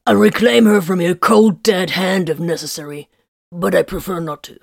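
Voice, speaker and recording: A woman voicing a gruff, grumbling male warrior priest with a gravelly, husky voice. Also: on the low side, moderate pace, darkish tone, no room sound, studio quality. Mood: grudging.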